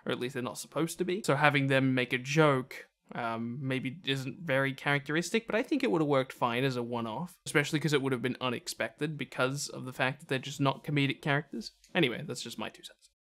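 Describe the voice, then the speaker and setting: A man's voice: medium pitch, brisk, few pauses, fairly bright tone. A man hosting a video countdown with casual, opinionated, conversational commentary. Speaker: video host.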